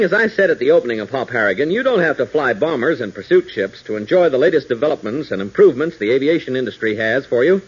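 A man's voice: fairly high pitch, slightly rough. A man announcing and narrating a 1940s radio serial, with emphatic, dramatic delivery aimed at young listeners. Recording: muffled old radio broadcast.

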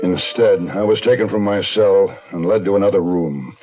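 A man's voice: low voice, steady tone, moderate pace, soft background music. An actor playing a wary man on the run, telling his story tersely in a 1970s American radio suspense drama. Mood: tense, terse narrating. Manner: terse, guarded. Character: terse, wary male fugitive narrating his own story. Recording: dull 1970s radio recording.

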